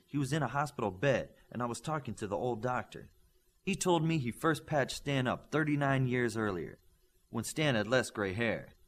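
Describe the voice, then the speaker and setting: A man reads superhero fiction as an audiobook narrator. His mid-pitched voice moves expressively up and down, with dramatic and deliberate phrasing. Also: a bit bright. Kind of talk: scripted narration of a superhero story.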